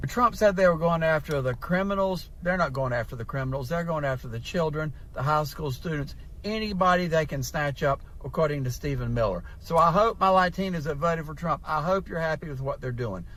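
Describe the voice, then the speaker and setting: A man's voice: fairly high pitch, brisk, few pauses, fairly bright tone. A man speaking straight to his viewers as a political commentator, pointed and challenging. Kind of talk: direct address to viewers.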